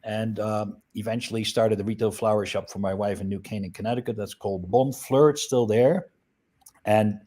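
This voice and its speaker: A man in his sixties from the Netherlands, a guest chatting easily on a video call with a tongue. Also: fairly low pitch. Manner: relaxed, chatty, uses fillers. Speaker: guest, flower company CEO. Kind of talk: casual interview talk.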